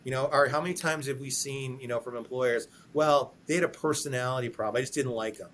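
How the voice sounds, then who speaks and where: A man's voice: mid-range voice, fast talker, a bit bright. A man speaking conversationally as a podcast guest lawyer, emphatic, repeating himself and acting out sample questions and answers. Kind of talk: conversational advice in a podcast interview.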